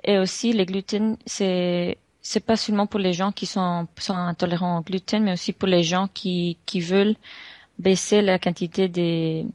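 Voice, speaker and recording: Woman, twenties, flat low voice, halting with restarts, dry sound. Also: no echo. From Honduras. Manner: halting, many restarts and fillers. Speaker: guest entrepreneur. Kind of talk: interview answers.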